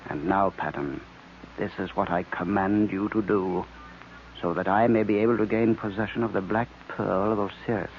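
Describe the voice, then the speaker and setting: A muffled, noisy old radio serial recording. An actor plays a sinister male hypnotist with a lowish voice, giving orders slowly and deliberately. He is domineering and sure of his power. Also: fairly even intonation, natural voice. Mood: commanding. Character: commanding male villain, a sinister hypnotist mastermind.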